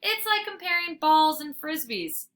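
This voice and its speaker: A woman voicing an eager, boastful small young male dog in a storybook, excitable and cocky. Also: high-pitched, unhurried, voice pushed up, full character voice.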